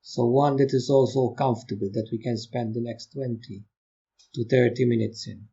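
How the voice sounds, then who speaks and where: A man leading a guided meditation, giving gentle, calm instructions with long unhurried pauses. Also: mid-range voice, gravelly, slightly rough, highs cut off. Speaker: meditation teacher.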